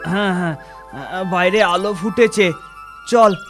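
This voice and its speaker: A performer playing a poor, cautious male wanderer in a children's cartoon fairy tale, with an anxious, halting manner. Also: medium pitch, steady tone, over music, close to an everyday voice. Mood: earnest.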